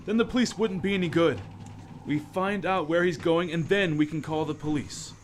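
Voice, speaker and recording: A man's voice: fairly high pitch, moderate pace, a little hiss or hum, natural voice. A man playing a determined male crime-fighting hero in a radio play, urgent and commanding. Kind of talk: amateur radio play acting.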